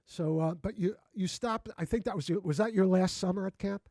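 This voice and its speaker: Guy in his 60s hosting, mellow kinda high voice, gushes and restarts a lot, dry sound. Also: brisk, dry recording. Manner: effusive and reminiscing, with frequent restarts. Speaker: host. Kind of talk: casual interview conversation.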